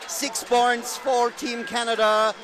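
A male commentator speaking English with a German accent, not his native language, calling a live football game and getting excited on the scores. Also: very high voice, slow, over music, crowd noise. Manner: excited, rising to shouts on scores. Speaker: sports commentator.

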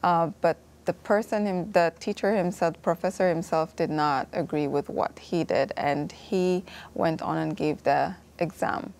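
Low, gravelly woman from Ethiopia. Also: fairly even intonation, unhurried, hardly any pauses, a little mellow. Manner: laughs easily, earnest. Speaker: class participant. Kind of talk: sharing a personal testimony. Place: TV studio set. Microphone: lapel mic.

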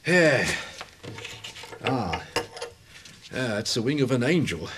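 A man's voice: mid-range voice, very animated, slow pace, background noise. A man in a radio drama playing a put-upon, grumbling school handyman who mutters and rambles. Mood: wondering.

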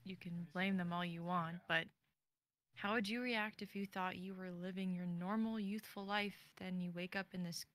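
A woman's voice: lowish voice, steady tone, moderate pace, a bit bright, lightly acted. A woman playing a distressed, caring granddaughter of twenty-three in a dramatic script reading. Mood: upset, bitter.

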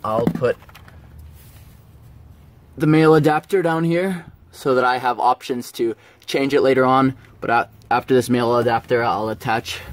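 A man giving a casual hands-on tutorial in an informal, plain-spoken way, explaining step by step. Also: mid-range voice. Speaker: presenter of DIY how-to videos. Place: around a backyard tub and a workshop. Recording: handheld camera with background noise.

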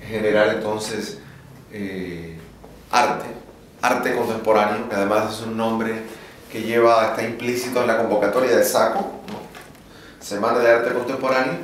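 Ecuadorian man teaching in an echoey dome. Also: mid-range voice. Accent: Ecuadorian Spanish. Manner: thoughtful, halting, with many fillers. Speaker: art workshop leader. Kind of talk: explaining a drawing exercise to students. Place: large echoey dome indoors with people around. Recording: echoey room, distant mic, noisy.

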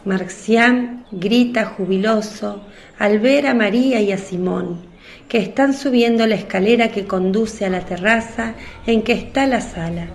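A woman's voice: mid-range voice, a little slow. A female narrator tells a religious story for a radio play. Her voice sits in a middle register, and she reads from a script.

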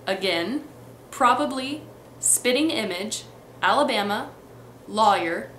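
A woman's voice: a little high, animated, slow, a bit bright, reverberant, a little hiss or hum. A young woman from Texas and Missouri with a light Midwestern accent, chatting casually to a webcam in her bedroom, full of ums and restarts. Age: young adult. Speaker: vlogger. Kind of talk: casual accent tag vlog. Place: bedroom, indoors.

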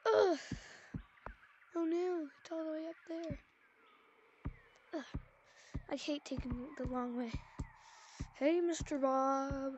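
High-voiced playful woman, noisy headset. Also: slow, pauses often, lo-fi sound. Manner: chatty, sighing, playful. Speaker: VR game player. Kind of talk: playful roleplay commentary. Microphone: VR headset mic.

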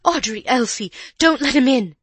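A woman's voice: fairly low pitch, lively intonation, takes it slowly, fairly bright tone, no room sound. A woman voicing a frightened, scornful older housekeeper aunt with a quavering voice in an audiobook story. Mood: panicked.

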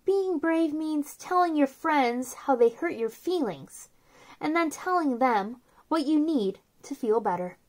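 A woman in her thirties speaking to young children as a story time host, with an expressive, simple delivery. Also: sits high. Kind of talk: children's read-aloud and chat to young viewers.

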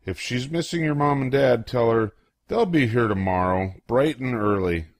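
An older man reading a children's picture book aloud in a playful, expressive way, acting out exclamations and questions. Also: medium pitch, moderate pace, dry recording, studio quality.

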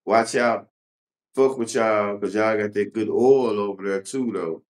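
A man's voice: fairly low pitch, a little slow. A man interviewing in a casual, slangy way, often repeating his phrases. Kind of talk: casual chat.

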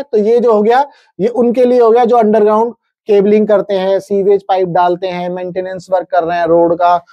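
A man's voice: high voice, mellow. An Indian man in his thirties presents an explanatory lesson in a methodical way. He reads through figures step by step and checks in with viewers, and the sound is dry, with little room echo. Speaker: presenter explaining government wage notices. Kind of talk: explanatory lecture, reading out figures and tables. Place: indoor studio, standing at a large digital screen.

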